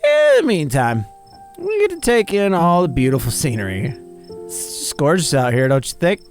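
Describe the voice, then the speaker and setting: Guy playing excitable breezy monster hunter gal from a visual novel, really animated, faint music and bugs behind. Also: fairly high pitch, normal speed, vocal fry, pitched above the performer's own voice, heavily acted. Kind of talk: reading visual novel dialogue aloud in character. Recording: music and chirping night insects behind.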